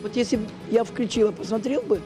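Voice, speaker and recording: A woman's voice: a little high, quick pace. A woman doing informal vox-pop interviews, asking persistent, coaxing questions. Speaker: street interviewer. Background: music.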